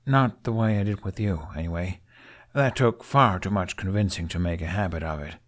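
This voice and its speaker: A man's voice: sits low, normal speed, lightly acted. A man voicing an awkward, earnest young male Viking dragon rider in an audiobook, hesitant and easily flustered. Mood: wry, reluctant. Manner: hesitant, stammering, flustered when pressed. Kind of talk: audiobook character acting.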